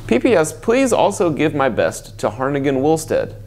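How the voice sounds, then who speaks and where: A young man reads fan letters aloud on a comedy vlog, his voice moving up and down a lot, with a playful deadpan. Soft music plays behind him. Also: on the high side, moderate pace. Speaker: co-host, "international correspondent".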